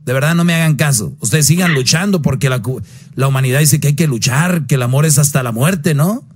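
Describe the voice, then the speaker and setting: A man's voice: fairly quick, breathy edge. Blunt man giving radio advice. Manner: blunt, preachy, swings pitch up for emphasis.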